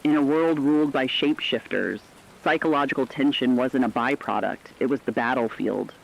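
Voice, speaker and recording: A man with a mid-pitched voice reads dramatic scripted narration in a serious, measured manner. The sound is muffled and lo-fi, with rain behind the voice. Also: creaky. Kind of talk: scripted dramatic documentary-style narration of an apocalypse story.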